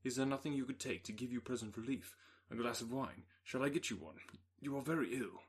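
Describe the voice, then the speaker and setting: A man voicing a grave, concerned young gentleman in an audiobook, measured and earnest. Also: mid-range voice, slightly rough, lightly acted.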